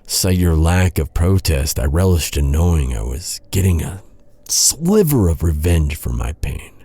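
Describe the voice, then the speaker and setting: A man playing a guilt-ridden, devoted knight in a dark fantasy audio roleplay, speaking in an earnest, dramatic, halting way. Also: low-pitched, husky, no echo, heavily acted. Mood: bitter, pained. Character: brooding, guilt-ridden male knight, bitter yet tenderly devoted.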